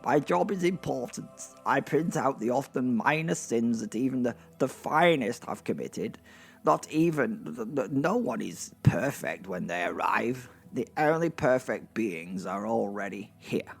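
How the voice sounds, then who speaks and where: A man's voice: mid-range voice, animated, measured pace, creaky, husky, full character voice. A man playing a nervous, self-important gate attendant of heaven in a comic fantasy audio drama, with a hesitant, stammering delivery. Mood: officious, fussy. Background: music.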